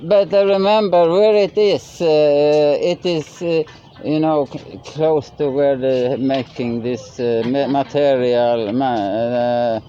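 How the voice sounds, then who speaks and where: Halting Norwegian man, slow and mellow. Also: mid-range voice, slight background noise. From Norway. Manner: halting, searching for English words with many restarts. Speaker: vlogger. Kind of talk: casual chat. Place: outdoors by a river. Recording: slightly dull lo-fi recording.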